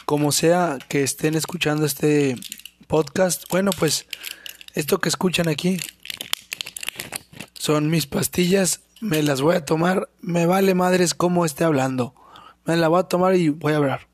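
A young man with a Mexican accent hosting a casual solo podcast, hoarse from a sore throat, rambling and swearing freely and clearing his throat often. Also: a little high, fairly even intonation, unhurried, a little hiss or hum. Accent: Mexican Spanish. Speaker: podcast host.

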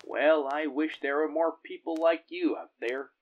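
A man's voice: fairly high pitch, fairly even intonation, moderate pace, narrow, tinny audio, lightly acted. A man playing a humble, grateful refugee settler in a space audio drama, earnest and given to awed exclamations.

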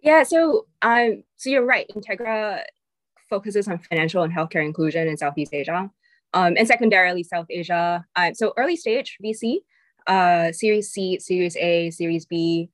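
A woman in her thirties with a mixed Singaporean and American accent, speaking in a fairly low voice. She talks as a guest in a relaxed, chatty way, filling pauses with um and like. The sound is dry and close, with no room echo. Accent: mix of Singaporean and American English. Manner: chatty, many fillers like um and like. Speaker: venture capital partner, guest. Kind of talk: casual interview chat.

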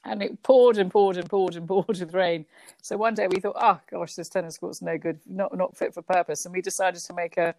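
A middle-aged woman from Kenya, a guest telling childhood stories in a relaxed, lively interview with frequent laughs. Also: fairly low pitch, fairly quick. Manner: lively, laughs often, many restarts. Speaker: guest, company founder. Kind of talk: casual conversational interview.

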